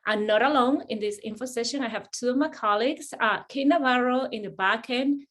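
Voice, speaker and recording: A middle-aged woman with a Spanish accent presents an online information session. Her voice sits a little high. She talks in a friendly way, with lots of "uh" and "um" and sentences she starts over. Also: fairly quick, a bit bright. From Spain. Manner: friendly, many fillers and restarts. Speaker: assistant dean hosting an admissions webinar. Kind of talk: webinar presentation.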